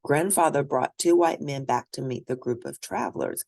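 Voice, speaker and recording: A woman reading a children's story aloud and discussing it in an expressive, teacherly manner. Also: rumbling low, normal speed, creaky, dry recording, studio quality. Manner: expressive, teacherly, asks questions of listeners. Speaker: storybook reader.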